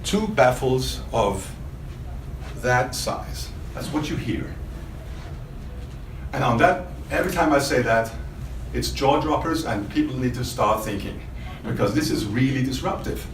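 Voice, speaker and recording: A man's voice: medium pitch, expressive, unhurried. A man giving a spontaneous, emphatic product demonstration to a small audience, quizzing his listeners with rhetorical questions. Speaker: product demonstrator for a loudspeaker maker. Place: indoor hotel demo room. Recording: roomy trade show demo, faint background noise.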